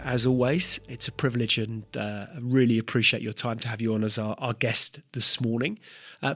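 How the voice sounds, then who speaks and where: Guy hosting a podcast, kinda husky, lots of uhs and restarts. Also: medium pitch, fairly bright tone, no room sound, studio quality. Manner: hesitant, many filler words and restarts. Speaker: podcast host. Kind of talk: interview.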